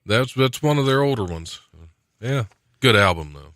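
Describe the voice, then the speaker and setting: A man's voice: medium pitch, expressive, moderate pace, fairly bright tone, no room sound, studio quality. An American man of about forty hosting a podcast, chatting casually and reading odd news items with joking self-deprecation. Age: around 40. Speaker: podcast host. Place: small studio. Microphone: close studio mic on a stand.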